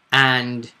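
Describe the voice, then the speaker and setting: A man hosting a casual recap of a tabletop roleplaying session as game master, telling it with dramatic emphasis and pauses. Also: medium pitch, sharp tone.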